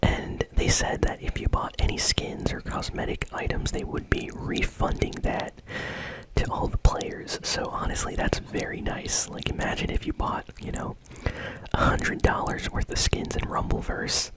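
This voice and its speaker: A host whispering gaming news in a casual, conversational ASMR style, with a breathy, unvoiced voice. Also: moderate pace, poor audio.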